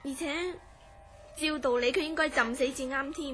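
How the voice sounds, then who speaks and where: A high-pitched, inquisitive woman in a horror radio play. She speaks expressively, with a puzzled, wondering edge, over background music. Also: moderate pace, a bit bright. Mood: earnest, mysterious. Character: curious, astonished woman recounting a friend's strange dreams. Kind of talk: Cantonese horror radio drama acting.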